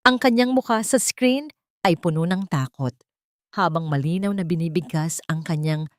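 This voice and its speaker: A woman's voice: deep voice, darkish tone, dry recording, clean studio sound. A woman narrator reading a scripted audio drama in a dramatic storytelling style.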